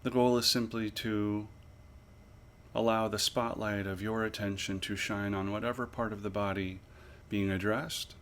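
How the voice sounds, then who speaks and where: A man leading a guided body scan meditation as an instructor, with measured, pausing, gently instructive phrasing. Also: on the low side, flat delivery.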